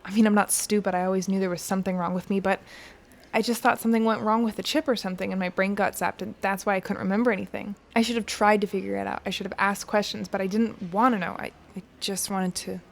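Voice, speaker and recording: A woman's voice: mid-range voice, steady tone, fast, creaky, natural voice. An actress playing a troubled, guilt-ridden young woman, speaking in a subdued, hesitant way in an audio drama. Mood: guilty. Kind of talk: naturalistic dramatic dialogue.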